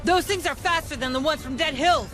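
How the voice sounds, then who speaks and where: An actor playing an excited marine trooper in a video game, calling out in an urgent, clipped way. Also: male voice, a little fast. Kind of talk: video game combat barks. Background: loud music, game rumble.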